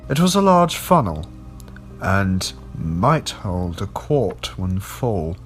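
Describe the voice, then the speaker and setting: A man with a fairly deep voice narrates an old horror tale like an audiobook. His delivery is very slow, measured and formal, with faint music playing behind him. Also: big pitch swings. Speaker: narrator of a horror story podcast. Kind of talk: scripted audiobook-style story narration.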